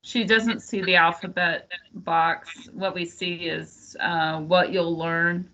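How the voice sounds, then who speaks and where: A woman co-hosting an online library meeting, speaking in a calm, helpful way. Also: lowish voice, takes it slowly. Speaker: library staff co-host. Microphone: video call. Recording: muffled online meeting audio.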